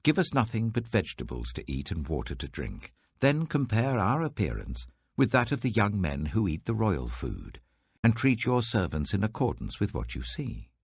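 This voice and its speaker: A man's voice: on the low side, very animated, moderate pace, breathy edge, dry recording, pitched above the performer's own voice, heavily acted. A British man voicing an earnest, respectful young nobleman, polite and composed, in a scripture audiobook.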